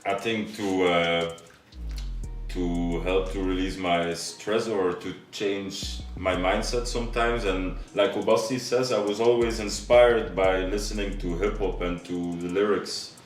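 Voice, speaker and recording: A man's voice: sits low, fairly even intonation. A man, a rapper, answering interview questions in a hesitant way. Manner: hesitant, slow. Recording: echoey room, distant mic, music behind.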